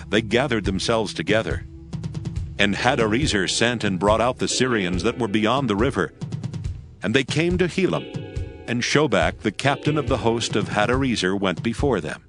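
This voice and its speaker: Male Bible narrator, lowish voice, formal and measured, reading archaic scripture over soft music. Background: background music.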